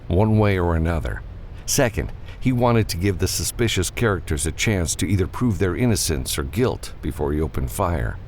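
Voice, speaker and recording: A man's voice: low-pitched, slight background noise. A man reading scripted fiction as an audiobook narrator.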